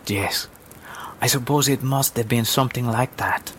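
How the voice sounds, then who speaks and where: Storybook servant woman, played by a man: hushed, breathy, slow, resolute, with gentle menace. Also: a little hiss or hum. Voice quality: whispery, breathy. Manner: slow, gentle, low-toned.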